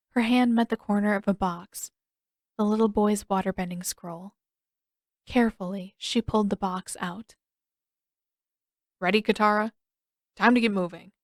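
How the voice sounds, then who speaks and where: A woman's voice: mid-range voice, no room sound, clean studio sound. A woman reading scripted fiction as an audiobook narrator.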